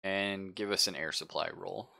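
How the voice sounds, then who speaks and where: A man acting as game master, narrating casually and haltingly as he thinks aloud. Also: low voice, creaky, pushed and bright. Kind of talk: casual narration of a tabletop roleplaying game, live stream.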